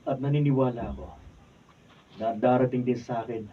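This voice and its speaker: Through distant, noisy audio comes an earnest young Filipino man played by a male actor in a radio drama. He is humble and polite, speaking sincerely in a medium-pitched voice. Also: fairly even intonation, normal speed, narrow, tinny audio, close to an everyday voice. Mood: calm, reassuring. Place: radio drama recording session.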